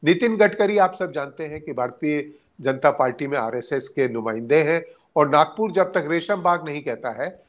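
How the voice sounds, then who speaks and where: A man giving unscripted political analysis as a journalist, speaking haltingly with hesitant pauses mid-sentence. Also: fairly high pitch, animated.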